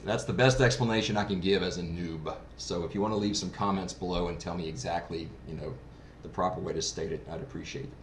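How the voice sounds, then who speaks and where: A man's voice: sits low, fairly even intonation, quick pace. A man giving a casual, unscripted gear review in a rambling, explanatory way, like a hobbyist who is new to it. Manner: rambling, explanatory, self-described novice. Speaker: product reviewer. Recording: roomy echo, clear background noise.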